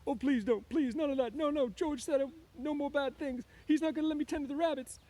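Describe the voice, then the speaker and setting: A man voicing a big, childlike, easily frightened man from a storybook, strained and pleading, with simple, halting repetition. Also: mellow. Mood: panicked pleading. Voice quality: strained, high-pitched.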